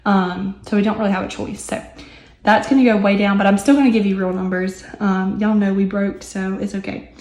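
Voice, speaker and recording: A young woman with a hoarse, recovering voice, chatting casually with many ums and asides as she works through her budget. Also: mid-range voice, steady tone, fairly quick, few pauses, darkish tone, roomy sound. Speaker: budgeting vlogger. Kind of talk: casual chat while working on paper.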